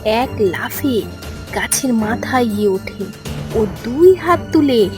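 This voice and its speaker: A woman's voice: fairly high pitch, expressive, slow, loud music behind the voice. A woman narrating a scripted fairy tale for a cartoon as its storyteller.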